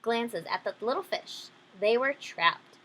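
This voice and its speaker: Female storyteller for young children, fairly high voice, animated swoops, explanatory asides. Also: measured pace, a little hiss or hum, rough recording. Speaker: read-aloud storyteller. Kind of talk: children's picture-book reading with simple asides.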